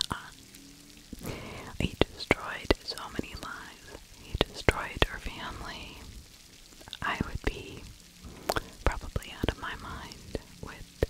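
A middle-aged woman whispering breathy true crime commentary in ASMR style, close to the microphone in halting phrases. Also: rough recording. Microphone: fluffy-covered microphone right at the mouth.

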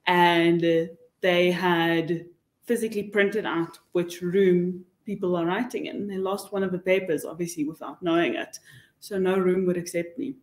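A woman's voice: lowish voice, steady tone, moderate pace. A young woman with a South African accent giving candid, emphatic advice as a panelist. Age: young adult. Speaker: webinar panelist. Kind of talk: panel discussion, casual advice. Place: indoor meeting room. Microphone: table microphone. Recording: roomy, echoey meeting room.

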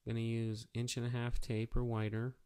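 A man's voice: mid-range voice, monotone, normal speed, darkish tone, smooth. A man narrating a casual step-by-step how-to tutorial in a plain, practical, matter-of-fact way. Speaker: auto painter giving a how-to tutorial.

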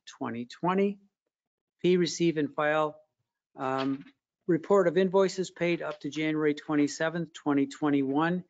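A man chairing a council meeting by video call, reading agenda items in a steady, formal manner. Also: on the high side. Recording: dull lo-fi video call audio.

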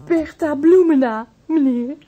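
An actress playing a distraught, timid and deferential housekeeper in a Dutch radio drama, speaking haltingly. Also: female voice, high voice, warm tone, lo-fi sound, soft background music. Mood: nervous, polite.

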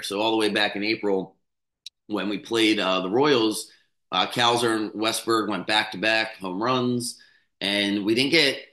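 A man in his thirties with a slightly hoarse voice, hosting a casual sports betting talk with quick, rambling sentences, fillers and restarts, on a studio mic in a small room. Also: on the low side, pushed and bright, echoey room. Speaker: sports betting podcast host. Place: small room with a bookshelf, indoors. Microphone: studio mic on a stand close in front.

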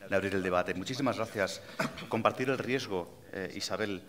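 A man moderating a seminar panel, formal and measured as he thanks speakers and asks questions. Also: on the low side, fairly bright tone.

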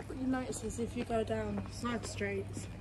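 Young British woman, fairly high voice, informal walking companion, audible outdoor noise. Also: steady tone, moderate pace. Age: young adult. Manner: informal, colloquial. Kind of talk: casual chat. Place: outdoors, walking along a marina promenade. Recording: clear outdoor ambient noise. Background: outdoor seafront ambience.

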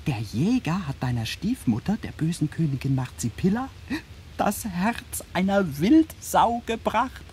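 Slow, swooping tiny king. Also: fairly low pitch, sing-song, a little mellow, a little hiss or hum. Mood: confiding. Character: tiny kindly February day-king, youngest of twenty-eight brothers. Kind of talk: German radio play acting.

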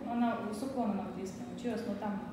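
Quick-talking Russian woman, distant and echoey. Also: mid-range voice, fairly even intonation. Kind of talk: casual chat. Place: hard-surfaced open kitchen-dining room. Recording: very echoey, far off, noisy room.

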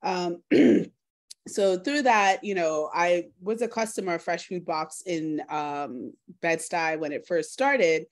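A woman's voice: lowish voice, slow pace. A woman in her thirties from Trinidad, speaking informally about her own experience on a video call from home. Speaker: food justice organizer. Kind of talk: personal talk in an online workshop. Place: living room at home, indoors. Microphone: laptop video call.

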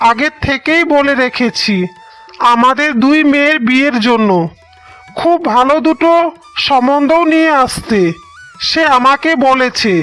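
A performer voicing a gentle, worried ageing father in a cartoon, earnest and reassuring. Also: high-pitched. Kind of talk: cartoon dialogue.

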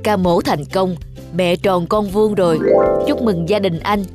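A calm, earnest male surgeon in a cartoon drama, acted by a woman. The pitch sits in a middle range for a woman. Music runs underneath. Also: measured pace, lightly acted. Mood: earnest congratulation. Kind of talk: dubbed cartoon melodrama.

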